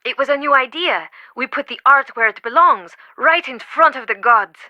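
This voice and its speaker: A devoted, easily affronted woman who runs a museum in a video game. Her voice is strikingly bright and crisp-edged, set fairly high, and recorded dry and close. She phrases deliberately and emphatically, with earnest dramatic weight. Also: expressive, dry recording, highs cut off, full character voice. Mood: explanatory.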